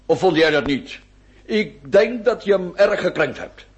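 An actor playing an exasperated, teasing gentleman in a theatrical radio play, speaking Dutch with a Belgian accent. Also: high voice, expressive, unhurried.

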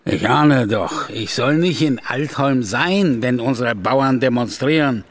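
An actor playing a stubborn, defiant farmer leader held as a prisoner, gruff and halting, in a radio drama. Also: male voice, mid-range voice, animated. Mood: worried.

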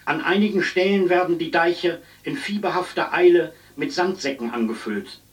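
An actor playing an earnest radio newsreader in a radio play, reading a formal, measured storm bulletin. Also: male voice, on the high side, recorded from a distance, lightly acted, poor audio. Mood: formal, urgent.

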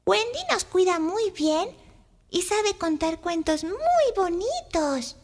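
A woman's voice: very high-pitched, sing-song, slow, slight background noise, pitched above the performer's own voice, heavily acted. A woman voicing a sweet little child who pleads in a childlike way in a children's storybook. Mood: sweet, earnest.

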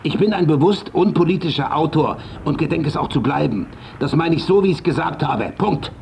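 Aging, gravelly, irritable writer. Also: male voice, a little high, animated, a little fast, a little mellow, a little hiss or hum. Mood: irritated. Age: older. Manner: curt, defensive, brooding. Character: aging vain male writer, aloof, irritable, secretive. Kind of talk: German radio play acting.

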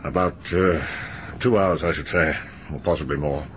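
An actor playing a calm country doctor who speaks in a clipped, matter-of-fact way in a radio drama. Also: male voice, rumbling low, normal speed, slight background noise. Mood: clinical. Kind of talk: 1980s BBC radio drama acting.

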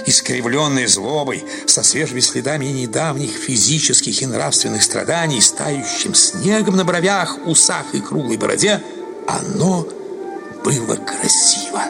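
Creaky-voiced male narrator over loud music. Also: mid-range voice, expressive, moderate pace. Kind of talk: dramatic literary narration for radio.

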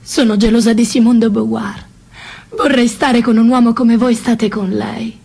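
Husky, frank young lover. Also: female voice, a little high, steady tone, moderate pace, warm tone. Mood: frank, jealous. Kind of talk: theatrical radio drama.

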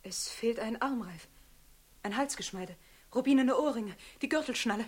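An actress playing a tender, evasive noblewoman in a German radio play, pleading anxiously in a breathy voice. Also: on the high side, normal speed.

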